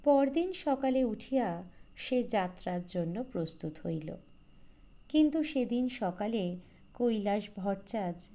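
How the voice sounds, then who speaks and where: Slow, mellow woman narrator. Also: mid-range voice. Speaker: audiobook narrator. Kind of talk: scripted literary story narration. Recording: slightly dull, lo-fi recording.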